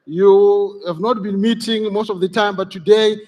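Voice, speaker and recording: High-voiced man leading a workshop. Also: steady tone, normal speed, a little mellow. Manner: encouraging, repeats key phrases. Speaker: workshop facilitator. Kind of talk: interactive workshop talk to an audience. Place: large conference hall. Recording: reverberant conference hall.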